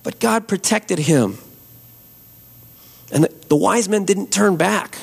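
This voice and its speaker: Hoarse preacher guy, slow earnest sermon, pretty high voice with lots of fry. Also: animated. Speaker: pastor. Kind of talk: Christmas Eve sermon and prayer. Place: church.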